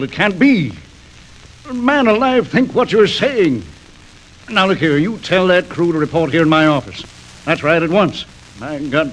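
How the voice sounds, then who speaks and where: An actor playing a gruff, flustered railway superintendent in a 1940s radio serial, blustering and exclaiming in disbelief. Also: male voice, on the high side, fairly bright tone. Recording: lightly crackly old radio record transfer.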